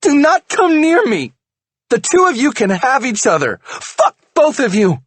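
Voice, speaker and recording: A man's voice: on the high side, expressive, slow pace, husky, no room sound, pitched above the performer's own voice, exaggerated voice. A man voicing a betrayed wife in a screaming, tearful rage in an audiobook. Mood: furious. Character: furious betrayed wife, shrieking, adult woman. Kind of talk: audiobook character voice.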